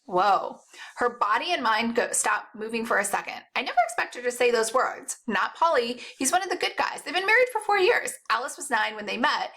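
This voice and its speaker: Animated, high-voiced woman reading a story. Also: a little fast. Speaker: audiobook-style reader. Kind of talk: expressive read-aloud of first-person novel narration.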